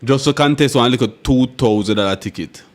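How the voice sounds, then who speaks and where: A Jamaican man speaking Patois in a casual group discussion, complaining and telling stories about his own troubles. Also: mid-range voice. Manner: quick, complaining storyteller.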